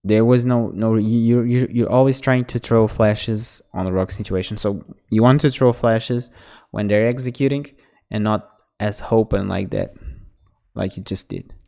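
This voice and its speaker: A man, a native Portuguese speaker, talks a player through their mistakes in a relaxed coaching tone. His voice sits low and sounds smooth and mellow. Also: normal speed. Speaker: game coach. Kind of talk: casual demo review commentary.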